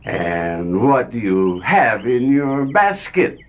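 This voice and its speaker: A woman's voice: extremely low pitch, sing-song, slow pace, voice pushed down, exaggerated voice. A woman reading a storybook aloud, voicing a wicked, cunning male wolf who makes his voice falsely sweet. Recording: dull lo-fi storybook recording.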